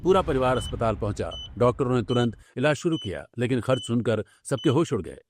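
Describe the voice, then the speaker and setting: A man's voice: medium pitch, very animated, brisk, a little hiss or hum. A man reading scripted story narration for a cartoon tale. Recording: background music under the voice.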